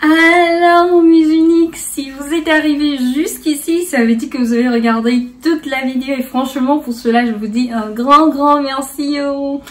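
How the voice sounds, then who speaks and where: A woman's voice: high-pitched, normal speed, few pauses, fairly bright tone, far from the mic, a little hiss or hum. A woman hosting a casual beauty tutorial in a playful, chatty way, slipping bits of English into her French. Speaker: beauty vlogger, host.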